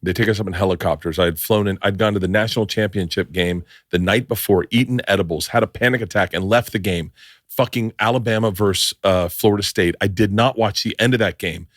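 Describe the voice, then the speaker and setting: Middle-aged man, lowish voice, podcast host, boisterous, swears a lot, dry close mic. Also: quick pace, no echo. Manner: boisterous, loud, swears freely, interrupts. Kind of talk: casual chat. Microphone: studio podcast mic.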